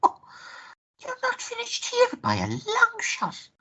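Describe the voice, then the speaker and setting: A woman's voice: very high voice, wildly swooping pitch, slow pace, pushed and bright, heavily acted. A woman playing an amorous, possessive lover in a radio play, sighing and cooing theatrically. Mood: possessive, indignant.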